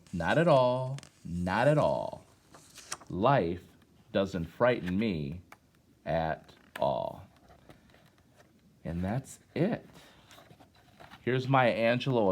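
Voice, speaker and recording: A man's voice: fairly low pitch, big pitch swings, slow, long pauses, a little hiss or hum. A middle-aged American man reading and chatting to children in a conversational way, with questions and encouragement, like a former teacher at story time.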